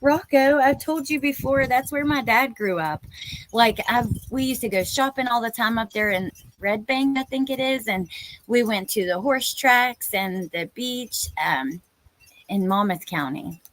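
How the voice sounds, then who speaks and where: A woman's voice: on the high side, measured pace, a bit bright, slight background noise, poor audio. A woman in her thirties hosting a live stream, chatting informally and exclamatorily with viewers and reading out their comments.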